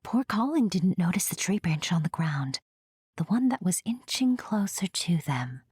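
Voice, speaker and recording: A woman playing a chatty, whimsical narrator in a fantasy audio drama, breathy and confiding, with playful asides. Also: on the low side, very animated, normal speed, darkish tone, no room sound, clean studio sound, full character voice. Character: chatty, whimsical storyteller fond of her enchanted forest.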